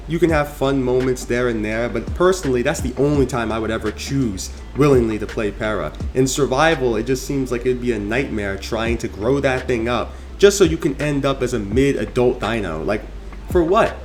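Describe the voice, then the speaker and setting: A man with a medium-pitched voice delivers opinionated video game commentary. He talks conversationally and leans hard on his points with emphasis. Music plays clearly behind his voice. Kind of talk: opinionated tier list commentary.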